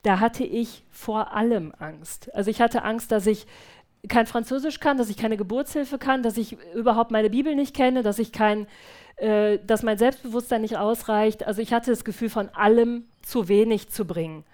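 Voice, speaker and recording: A woman back from mission work who speaks earnestly and reflectively at a church service, shares a testimony with hesitant restarts, then prays quietly. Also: on the high side, normal speed. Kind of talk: church testimony followed by spoken prayer.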